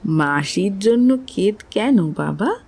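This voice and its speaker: Slow-spoken, motherly Bengali aunt played by an actress. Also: medium pitch, expressive, vocal fry, slightly rough, soft background music, exaggerated voice. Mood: tender, curious. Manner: unhurried, motherly, softly teasing. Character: gentle, dignified Bengali widowed aunt around forty. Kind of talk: Bengali audio drama acting.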